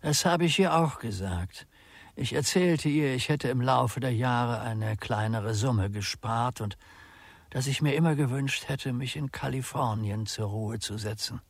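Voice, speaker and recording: An actor playing a shy, lonely middle-aged small-town undertaker in a German radio drama, courteous and hesitant. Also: male voice, mid-range voice, measured pace, dry recording. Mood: plain recollection.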